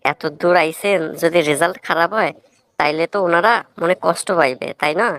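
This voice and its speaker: A low-set, close and dry voice for an earnest young village man , acted by a woman. The Barisal accent is thick with country flavor. The student talks a lot and lectures bluntly, in cartoon comedy style. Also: brisk, a bit bright, no echo, lightly acted. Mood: admonishing. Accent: Barisal Bangla. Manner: rustic, talkative, bluntly moralizing.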